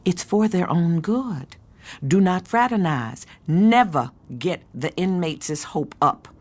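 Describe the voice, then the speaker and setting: An actress playing a hard, bitter middle-aged American aunt who works as a supervisor, scolding and commanding in a naturalistic radio play. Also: female voice, on the low side, animated, takes it slowly. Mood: stern lecturing. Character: hard, bitter older aunt, jaded detention-center supervisor.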